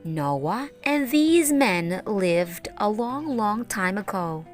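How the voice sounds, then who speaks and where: A woman reading a children's Bible story aloud in an expressive, deliberate way. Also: mid-range voice, faint music behind. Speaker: storyteller, teacher.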